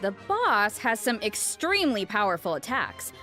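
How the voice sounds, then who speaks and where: A woman's voice: mid-range voice, big pitch swings, normal speed, pushed and bright. A woman co-hosting a video game news program, enthusiastic and delivering scripted updates with some banter. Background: background music.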